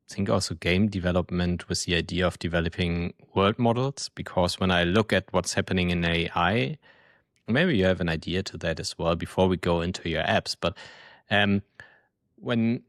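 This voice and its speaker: A man's voice: low-pitched, no echo, clean studio sound. A man hosting an interview podcast, asking long questions and thinking aloud as he goes. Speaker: podcast host.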